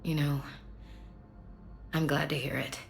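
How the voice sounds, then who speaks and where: A woman's voice: low voice, flat delivery, unhurried, vocal fry, soft background music. A woman playing a tough, candid soldier in a video game, plain-spoken with a dry sense of humour. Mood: subdued, confiding. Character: tough, candid female soldier, frank and dryly humorous.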